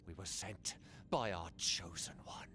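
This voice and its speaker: A man's voice: low voice, a little slow. A man voicing a captured cultist creature in a video game, fearful yet fanatical, with a hissing, whispery delivery. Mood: defiant, reverent. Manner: fanatical, dramatic.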